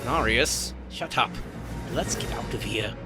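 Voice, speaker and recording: A man playing a blunt, uneasy dragon companion in an animated fantasy, reluctant and sardonic. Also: medium pitch, a little slow, music in the background, lightly acted. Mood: urgent. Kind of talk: animated fantasy drama acting.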